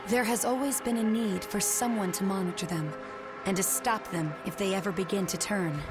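Actress as young female game guardian, solemn, burdened by guilt, measured formal speech, loud music underneath. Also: medium pitch, close to an everyday voice. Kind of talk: dramatic video game dialogue.

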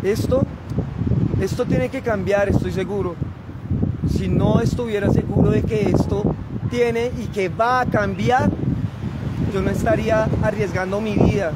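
A man's voice: sits high, fairly even intonation, a little slow. A man, giving an impassioned, declamatory political speech to his phone camera outdoors. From Colombia. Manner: declamatory, emphatic, rhetorical lists. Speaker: activist. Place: outdoors on a wide open plaza with traffic. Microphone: phone camera at arm's length. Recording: loud wind noise on phone mic.

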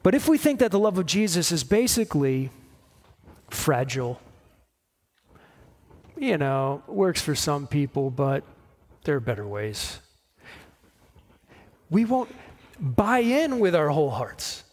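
A man in his forties preaching a sermon, explaining in measured short phrases with pauses. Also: fairly high pitch, very animated, darkish tone. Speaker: pastor preaching. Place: church stage at a lectern, indoors.

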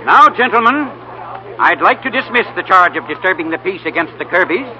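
An actor playing a stern but fair judge, formal and authoritative, in 1930s radio style. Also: male voice, sits high, lively intonation, brisk, crowd noise. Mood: measured, benevolent. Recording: muffled, humming 1930s radio recording.

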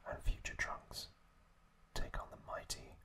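A man narrates ASMR in a breathy whisper, right up at the microphone. His pace is very slow, with hushed, drawn-out phrases delivered as a host addressing listeners.

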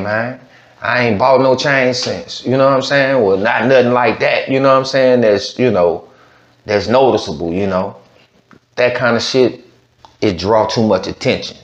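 Man, streetwise reaction-show host, loose slangy rambling, roomy muffled home-studio sound. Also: medium pitch. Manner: slangy, rambling with constant "you know what I'm saying". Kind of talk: casual commentary. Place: home studio with green-screen backdrop. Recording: roomy, slightly dull sound.

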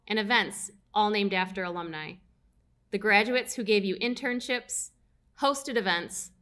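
A young woman, an alumni relations director, reading a formal address at a podium on stage in a measured way. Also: medium pitch, fairly bright tone. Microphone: podium mic.

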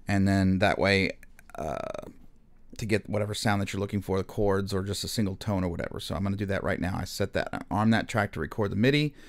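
Male tutorial narrator, lowish pitch, casual and explanatory, slightly hesitant off-the-cuff delivery. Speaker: music software instructor. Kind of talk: casual spoken screen tutorial.

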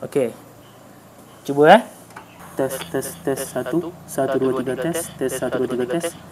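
A man's voice: medium pitch, steady tone, a little slow, over a noisy crowd. A man with a Malaysian accent giving a casual, hands-on product demonstration, mixing in English tech terms. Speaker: motorcycle gear reviewer. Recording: clearly noisy room recording.

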